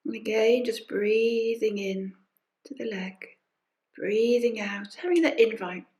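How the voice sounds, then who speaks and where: A woman leading a guided meditation as a teacher, speaking gently and unhurriedly in short phrases with pauses and soft invitations. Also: a little high. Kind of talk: guided body scan meditation. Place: recorded during an online session.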